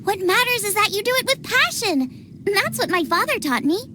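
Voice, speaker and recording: Very high, bubbly girl chef. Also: big pitch swings, normal speed, background noise. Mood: encouraging. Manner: bubbly, earnest, exclamatory. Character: cheerful young girl chef, passionate and encouraging. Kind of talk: anime-style video game voice acting.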